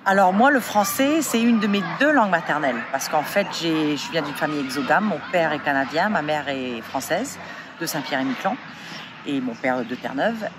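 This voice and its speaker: A woman's voice: deep voice, animated, moderate pace. Woman explaining, noisy outdoors. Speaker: interviewee.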